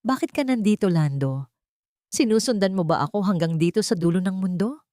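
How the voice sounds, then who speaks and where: A woman's voice: mid-range voice, animated, fairly quick, mellow, no echo, studio quality, lightly acted. A woman narrator voicing a guarded, distrustful young woman doctor in an audio drama, hesitant and accusing. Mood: wary reproach.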